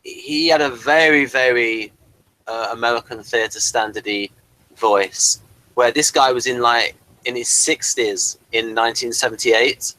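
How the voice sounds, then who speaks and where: A man's voice: mid-range voice, a little slow, bright, forceful tone, rough recording. A man hosting a review discussion, talking in a hesitant, digressive way as he explains things. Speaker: host of a TV review show.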